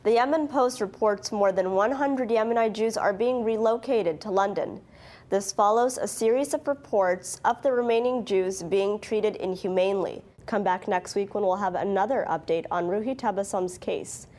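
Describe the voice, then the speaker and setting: A woman news presenter with a medium-pitched voice reads from a script. Her delivery is formal and clearly worded, in the style of a news bulletin. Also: normal speed, darkish tone.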